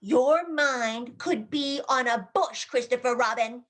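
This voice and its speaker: A woman voicing an excitable, naive little plush piglet with eager, repetitive childlike chatter in a play reading. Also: fairly high pitch, slow. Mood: earnest, worried. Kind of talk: dramatized stage play reading.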